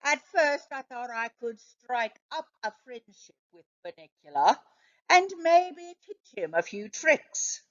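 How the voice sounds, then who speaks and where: A woman reading a children's story aloud in an expressive narrating style. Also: on the high side, muffled recording. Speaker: storybook reader.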